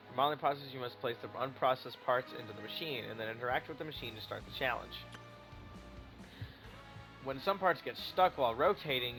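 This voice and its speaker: A man's voice: mid-range voice, rough recording. A young man giving casual, joking and sweary commentary while he plays a game. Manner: sweary, joking, reads game text aloud. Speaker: gamer commentating a playthrough. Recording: game music behind the voice.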